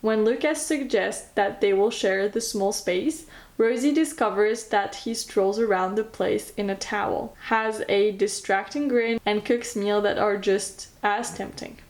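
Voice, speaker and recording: A woman's voice: medium pitch, a little mellow. French woman chatting about books. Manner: enthusiastic, chatty, non-native phrasing. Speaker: book vlogger. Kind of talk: casual book recommendations, reading blurbs aloud.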